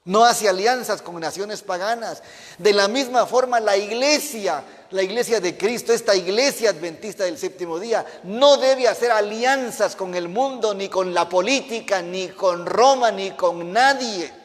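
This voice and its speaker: A man's voice: high voice, unhurried. A man from Mexico, a pastor preaching a fervent sermon and putting questions to the congregation. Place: church. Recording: reverberant church hall.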